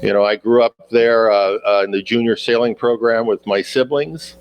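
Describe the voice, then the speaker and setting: A man in his sixties answering interview questions in a halting way, with many fillers and repeated words. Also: lowish voice, fairly even intonation, dull audio. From United States. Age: 60s. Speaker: guest sailor.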